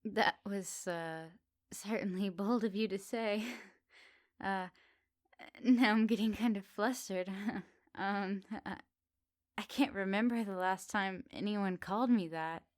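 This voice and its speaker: Woman playing a slow-spoken teasing witch. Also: mid-range voice, no room sound, studio quality, natural voice. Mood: flustered, shy. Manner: soft, teasing, giggly, unhurried. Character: teasing, flirty, lonely witch in a woodland cottage. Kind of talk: intimate ASMR roleplay acting.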